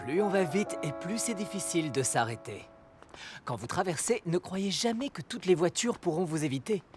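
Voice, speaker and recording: A man's voice: high-pitched, lively intonation, normal speed, faint music behind. A man playing a cautious, patient father who explains things in a children's cartoon. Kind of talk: French-dubbed children's cartoon.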